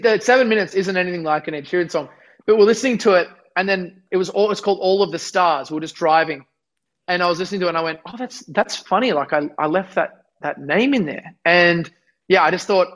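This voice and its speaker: A man's voice: high-pitched, a bit bright. A young man, a musician chatting in an interview with a slightly worn voice, rambling and self-deprecating. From Australia. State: losing his voice. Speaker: musician guest. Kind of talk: casual interview chat.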